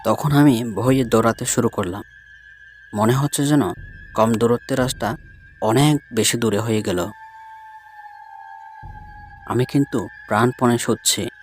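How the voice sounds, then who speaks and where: A man's voice: medium pitch, unhurried, long pauses. A man narrating a scripted first-person ghost story as a storyteller. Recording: music bed behind the voice. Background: music.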